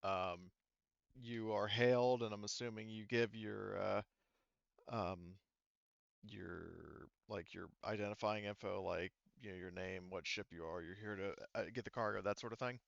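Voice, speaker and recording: A man with a slightly low, smooth voice acts as game master. He narrates casually and haltingly, rambling and hedging as he improvises. The sound is close and dry, a little dulled. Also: steady tone, no echo, lo-fi sound.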